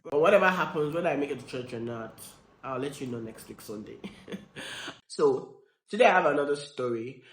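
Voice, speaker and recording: A man hosting a story channel, his voice croaky and sniffly from a cold, chatting and apologizing casually. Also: mid-range voice, reverberant, rough recording. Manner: chatty, apologetic, rambling asides. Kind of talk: casual chat, reading viewer stories. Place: home, getting ready for church.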